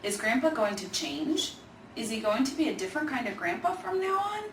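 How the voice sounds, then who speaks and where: A woman voicing an earnest, caring young girl in an expressive children's storybook reading. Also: high voice, brisk, pitched above the performer's own voice. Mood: anxious. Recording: roomy, distant mic, faint noise.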